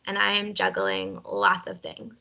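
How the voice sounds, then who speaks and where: A woman with a bright, gravelly voice gently guiding a tapping exercise. She speaks encouragingly, giving short phrases for others to repeat. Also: mid-range voice, big pitch swings, a little slow, dull audio, a little hiss or hum. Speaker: tapping session leader. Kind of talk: guided emotional freedom technique session.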